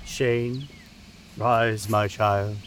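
A man playing a cheerful, teasing camp counselor in an audio drama, with playfully theatrical, drawn-out emphasis. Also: fairly low pitch, steady tone, slight background noise.

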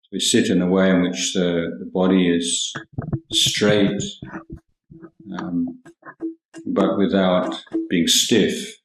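A deep-voiced man speaks as a Buddhist meditation teacher in an echoing room. His delivery is calm and extremely unhurried, with long pauses between short, measured phrases. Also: a little hiss or hum. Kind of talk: guided meditation talk. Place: indoor room at a monastery. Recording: roomy, echoing hall sound.

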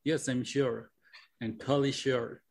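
A man's voice: medium pitch, fairly even intonation, measured pace, vocal fry. A young Mexican man whose first language is Spanish, co-hosting a casual podcast chat over a remote call, hunting for words and repeating himself. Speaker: co-host.

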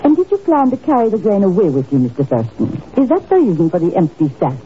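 A haughty, cold crime boss in a 1950s radio play, with a theatrical, widely swooping delivery. The recording is dull and faintly noisy. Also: female voice, mid-range voice, wildly swooping pitch, fast talker. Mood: cold, mocking. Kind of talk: 1950s radio drama acting.

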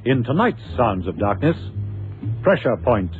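A man's voice: mid-range voice, sing-song, measured pace, narrow, tinny audio. A man announcing a radio drama in a formal, scripted, emphatic style with dramatic delivery. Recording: thin old radio broadcast with music.

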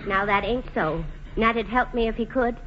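A woman's voice: on the high side, moderate pace, natural voice. An actress playing a weary, homespun American housewife and mother who speaks plainly, in 1940s radio drama style. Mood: worried, resigned. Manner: homespun, rustic, plain-spoken. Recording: dull vintage radio broadcast, some noise.

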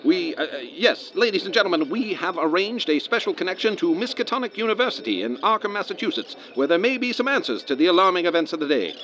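An excitable radio newsman in a radio play, his voice fairly high and swinging widely in pitch. He reports live with formal, dramatic urgency, as if addressing listeners at home. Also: fast, hardly any pauses, rough recording. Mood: grave, urgent. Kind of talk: live radio news commentary, old radio drama acting.

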